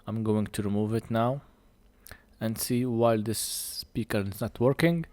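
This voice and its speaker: Man, non-native English, lowish pitch, unhurried halting tutorial delivery, short of breath, dry sound. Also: a little mellow, no echo. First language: not English. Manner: halting, short phrases with pauses. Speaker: phone repair technician presenting a tutorial. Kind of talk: hands-on repair tutorial, unscripted commentary.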